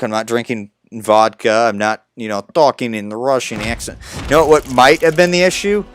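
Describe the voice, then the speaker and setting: Young male game streamer, rambling and sarcastic, average pitch, soft music bed. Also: clean tone. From United States. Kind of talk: casual gameplay commentary.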